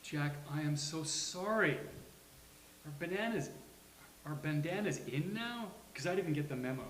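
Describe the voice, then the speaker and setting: A man reads as a wry, anxious sitcom straight man, dry and hesitant. The voice is slightly high and unhurried, recorded in a roomy space with some hiss. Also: lively intonation, fairly bright tone. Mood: apologetic. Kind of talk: sitcom script table read. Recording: hissy, roomy live recording.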